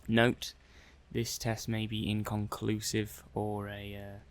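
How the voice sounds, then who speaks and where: A man's voice: fairly low pitch, monotone, slow, clear, resonant tone. A man playing a mild-mannered, puzzled robot-testing technician in an ASMR roleplay, hesitant and muttering to himself. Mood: perplexed. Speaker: roleplay performer.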